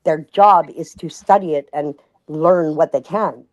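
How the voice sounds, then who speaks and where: A woman in a casual livestream discussion, thinking aloud with many restarts and reading passages out slowly. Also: deep voice, darkish tone.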